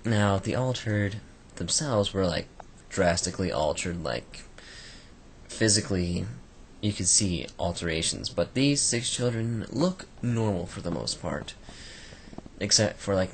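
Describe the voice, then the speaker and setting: Croaky deep-voiced man rambling. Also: a little hiss or hum. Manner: rambling, many fillers and restarts. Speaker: book reviewer. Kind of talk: casual spoken book review.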